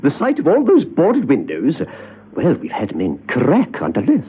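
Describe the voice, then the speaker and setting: An actor playing a fussy, effusive and exacting boss of a window-cleaning firm in a radio drama, with theatrical, hesitant manner. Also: male voice, a little high, huge pitch swings, unhurried, breathy edge, exaggerated voice. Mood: fussily apologetic. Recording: dull lo-fi vintage radio recording.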